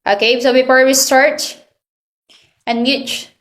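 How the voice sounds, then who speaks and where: A woman's voice: high-pitched, fairly even intonation, slow pace, a bit bright. A woman from the Philippines teaching an online English class to children, asking patient prompting questions over a video call.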